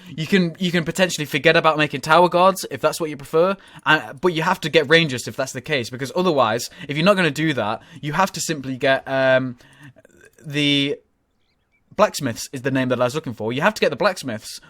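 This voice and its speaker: A man's voice: a little high, a bit bright, no echo. Nasal, rambling male game commentator. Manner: rambling, with frequent ums and self-corrections. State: nasal from a cold. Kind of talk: casual strategy game commentary.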